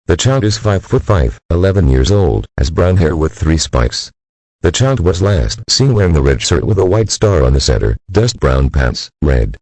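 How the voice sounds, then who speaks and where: A man with an extremely low voice delivers formal emergency alert announcements. His pitch swings noticeably as he reads, and the delivery stays stiff and official, like a scripted public warning. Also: a little mellow, no room sound, poor audio.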